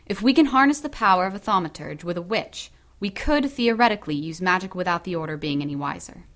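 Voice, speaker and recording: Composed, regal witch high priestess. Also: expressive, fairly bright tone. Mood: guarded calm. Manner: formal, measured, authoritative. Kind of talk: audio drama acting.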